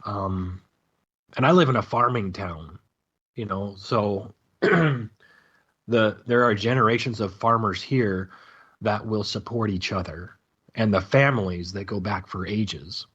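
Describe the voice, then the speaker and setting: Male podcast guest, low husky voice, halting opinionated chat, muffled lo-fi audio. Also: a bit bright. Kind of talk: casual opinionated discussion. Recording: dull lo-fi call audio.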